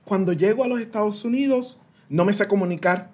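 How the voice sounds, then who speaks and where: A man's voice: high-pitched, brisk. A Puerto Rican man in his thirties, a guest consultant explaining ideas animatedly with everyday analogies and some English words mixed in. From Puerto Rico. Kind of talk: interview explanation.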